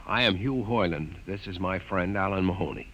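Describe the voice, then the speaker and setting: An actor playing an earnest young scientist cadet in a 1950s radio drama, formal and respectful. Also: male voice, low-pitched, moderate pace, a bit bright. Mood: earnest, hesitant. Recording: dull, muffled old radio recording.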